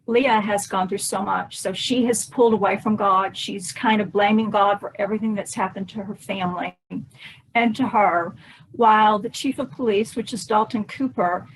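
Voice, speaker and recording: A woman's voice: medium pitch, steady tone, normal speed, a little hiss or hum, poor audio. A woman, an author guesting on a podcast, explaining her work in a conversational way. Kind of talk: conversational interview answers.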